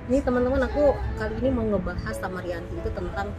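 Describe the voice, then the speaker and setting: A chatty woman from Sumatra hosts her vlog, interviewing a guest over a meal. She laughs easily, drifts through fillers, and speaks at a middling pitch over loud restaurant music. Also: fast talker. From Sumatra, Indonesia. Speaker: vlog host. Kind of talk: casual interview chat.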